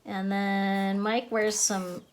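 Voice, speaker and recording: A woman's voice: mid-range voice, little pitch movement, very slow. A woman giving a step-by-step drawing lesson as an author and illustrator, narrating simply as she draws. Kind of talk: slow step-by-step drawing demonstration.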